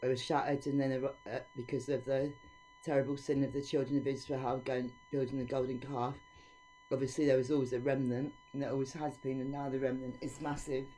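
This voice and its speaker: A woman's voice: extremely low pitch, sing-song, fairly quick, dark tone, slight background noise, rough recording. A woman giving an informal devotional teaching, reading scripture aloud and speaking in an earnest, preachy way. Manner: earnest and preachy, with frank opinions.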